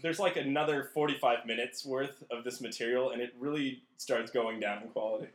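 A man's voice: mid-range voice, fairly even intonation, brisk, roomy sound. A man co-hosting and narrating a comedy podcast, recorded in a room. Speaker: narrator and co-host.

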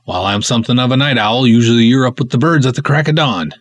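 Man putting on a prim, droll gentleman sidekick. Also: medium pitch, quick pace, natural voice. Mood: puzzled. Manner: formal, wordy, droll. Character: prim, courtly, dryly teasing male sidekick. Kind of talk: dramatic serial reading.

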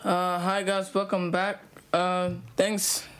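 A young man hosting a casual radio chat, relaxed and quick to laugh, from South Sudan, switching between languages. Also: high-pitched. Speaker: youth radio host.

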